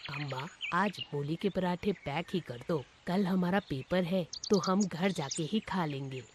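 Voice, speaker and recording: Woman doing chatty young student boy, cartoon story, slightly low voice, friendly, bit of background noise. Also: measured pace, natural voice. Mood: friendly, appreciative. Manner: familiar, chatty, complimentary. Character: friendly, appreciative young male student customer. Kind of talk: cartoon moral story voice acting.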